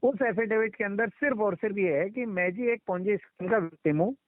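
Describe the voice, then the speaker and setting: A man's voice: high-pitched, fairly quick, no echo. A man explains things step by step on a recorded phone call. He is insistent and repeats his instructions. The sound is dry and narrow, like a telephone line. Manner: insistent, talks over others, repeats instructions. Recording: muffled band-limited phone call recording.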